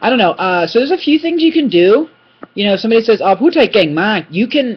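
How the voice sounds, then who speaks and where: A young man giving a casual, animated language lesson from his couch, rambling with many restarts and asides, recorded on a laptop webcam. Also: extremely high pitch, rapid-fire, husky. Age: young adult. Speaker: Thai language teacher. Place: indoor living room, on a couch. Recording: dull lo-fi webcam audio.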